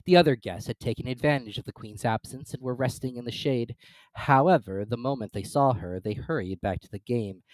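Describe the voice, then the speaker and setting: A storyteller giving a measured, expressive dramatic reading of a classic tale. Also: medium pitch, no room sound, rough recording. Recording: dry close recording with soft music.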